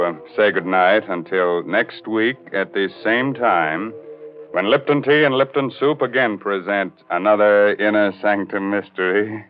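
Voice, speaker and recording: An American man hosting a 1940s radio horror show in a macabre, mock-sinister, punning manner. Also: low voice. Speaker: host of a radio mystery show. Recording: tinny 1940s radio recording, faint music.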